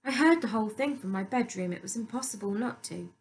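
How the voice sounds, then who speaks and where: A woman's voice: medium pitch, animated, fast talker, a little hiss or hum. A woman reading a story aloud as scripted narration.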